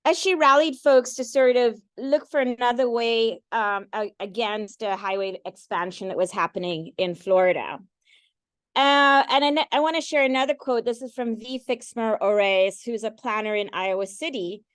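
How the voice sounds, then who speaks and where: A middle-aged woman from Guyana giving a conversational engineering lecture with slides, often pausing with fillers and restarting her sentences. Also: on the high side, no room sound. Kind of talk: academic talk with slides.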